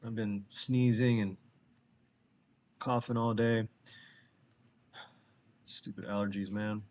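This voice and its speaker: A man's voice: on the low side, steady tone, takes it slowly, highs cut off. A man with a stuffed-up nose from allergies, casually chatting with his viewers while playing a game and thinking his choices through aloud. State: congested from allergies. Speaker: streamer. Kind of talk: casual game streaming chat.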